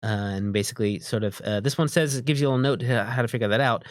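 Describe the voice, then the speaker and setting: A man's voice: medium pitch, fast, no echo, studio quality. A man co-hosting a tabletop game stream, chatting casually and reading out options with loose, hesitant explanations. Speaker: co-host of a tabletop game livestream.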